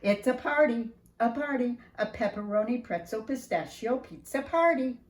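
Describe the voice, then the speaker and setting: An older woman reading a picture book aloud to young children in an animated, sing-song way, speaking right to them. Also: on the high side, moderate pace, echoey room. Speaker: preschool staff storyteller reading to children.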